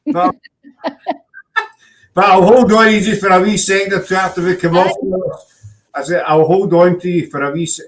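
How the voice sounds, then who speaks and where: Host pria bersuara agak tinggi, logat Glasgow, suka menggoda tamu, lewat panggilan video. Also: male voice, sits high, steady tone, normal speed, long pauses, low-quality recording. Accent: Glaswegian Scottish. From Scotland. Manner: jokey, teasing, uses Scots words. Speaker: podcast host. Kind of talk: casual chat.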